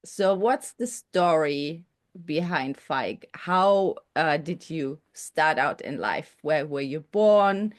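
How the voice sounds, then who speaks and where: A woman's voice: low voice, animated, takes it slowly. A woman hosting a personal interview, introducing and asking questions in a conversational style.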